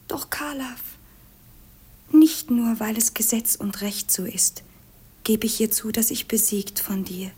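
A proud young princess with a high voice. Her delivery is fervent and declamatory, full of passion, in the manner of 1950s radio theatre. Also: takes it slowly, warm tone. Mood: hushed confession. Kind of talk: 1950s German radio play acting.

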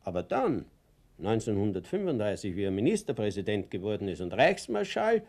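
An elderly Viennese fellow in a radio play, with a creaky voice and lively, varied pitch. His Austrian accent is homely. He talks insistently, naive and very sure of his own importance. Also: brisk. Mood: proud, reminiscing. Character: naive, self-important elderly Viennese schoolfellow of a Nazi leader. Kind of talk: radio drama dialogue.

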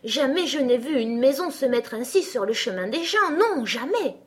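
A woman voicing a curious, polite young girl who is easily astonished, in an expressive children's storybook reading. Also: fairly high pitch, moderate pace, pitched above the performer's own voice, natural voice.

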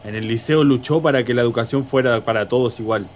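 A man's voice: mid-range voice, fast talker, a little mellow, close to an everyday voice. A man playing a fond working father with a Chilean accent in a radio drama. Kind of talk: amateur radio drama acting. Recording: engine and vehicle noise behind.

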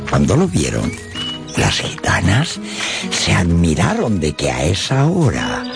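A man narrating a radio drama in an expressive storytelling style. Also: deep voice, vocal fry. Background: music under the narration.

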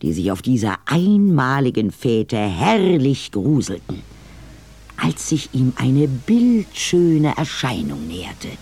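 A man's voice: mid-range voice, wildly swooping pitch, takes it slowly, a little mellow, slightly rough. A man narrating a scripted audio drama in a storybook style.

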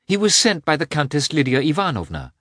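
A gossipy society princess from a classic novel, played by a male reader, eager and insistent. The voice is lifted in pitch and very animated, with a close, dry sound. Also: fairly quick, no room sound, heavily acted. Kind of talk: audiobook character voice in a classic novel.

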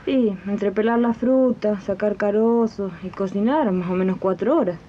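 A woman's voice: normal speed, close to an everyday voice. A woman playing a friendly working-class Argentine domestic worker in a 1980s radio drama, confiding directly in her fellow workers. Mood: matter-of-fact. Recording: muffled, noisy 1980s archive radio tape with rumble.

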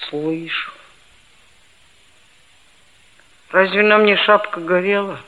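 An actor playing a gloomy, self-pitying schoolboy of about thirteen, narrating earnestly in a 1960s Soviet radio play. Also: male voice, high voice, fairly even intonation, normal speed, close to an everyday voice. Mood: sullen, guilty. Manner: earnest, dramatic, reflective. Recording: dull old radio-play tape with loud music.

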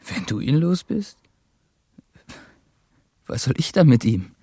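A man's voice: on the high side, big pitch swings, slow pace, vocal fry, dark tone, husky, no room sound, studio quality, full character voice. An actor playing an intense, volatile man in a German radio drama, urgent and repetitive, desperate for redemption. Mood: wary, subdued. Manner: obsessively repeating, urgent phrasing.

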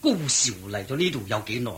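Brief: haughty prince for a radio play, husky and animated. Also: male voice, medium pitch. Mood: stern. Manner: lordly, quick to surprise and anger. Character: proud middle-aged nobleman prince.

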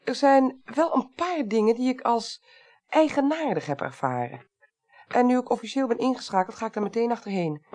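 A woman's voice: fairly high pitch, expressive, moderate pace, warm tone. An actress playing a commanding senior female parliamentary clerk in a 1980s radio drama, formal, decisive and dryly wry. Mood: composed, wry.

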